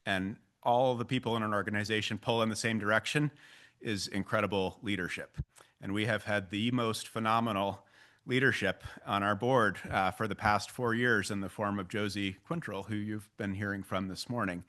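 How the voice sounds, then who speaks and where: A man on a nonprofit's staff giving a halting, casual tribute at an annual meeting, with many fillers and restarts. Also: fairly low pitch, sharp tone. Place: meeting hall.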